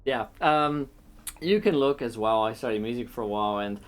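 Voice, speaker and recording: A man's voice: medium pitch, moderate pace. Rambling man explaining voice tips. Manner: relaxed, rambling explanations with many fillers. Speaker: game master. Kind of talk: casual chat giving tips.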